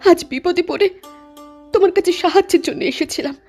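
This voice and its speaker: A woman's voice: very high-pitched, brisk, a little mellow, soft background music. A woman voicing a desperate, headstrong younger sister in a cartoon with earnest, dramatic delivery. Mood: hurt, indignant.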